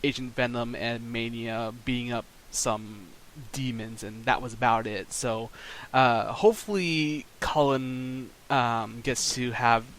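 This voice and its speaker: A man's voice: mid-range voice, steady tone, slow pace, fairly bright tone, slight background noise, poor audio. A man hosting a podcast, asking his guest questions as an eager fan. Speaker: podcast host. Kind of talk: casual podcast interview.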